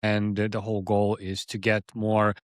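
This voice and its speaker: A man's voice: lowish voice, completely flat delivery, moderate pace, no room sound, clean studio sound. A man in his thirties talking casually as an interview guest, often rephrasing mid-sentence as he explains himself. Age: 30s. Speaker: interview guest, agency founder.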